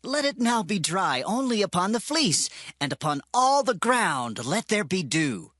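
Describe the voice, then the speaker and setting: An anxious, humble ancient warrior pleads earnestly in a dramatized scripture reading. His voice rides high and swings widely in pitch, recorded close and dry. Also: very animated, unhurried, pushed and bright, no room sound, full character voice. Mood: humble, pleading. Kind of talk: dramatized scripture audio acting.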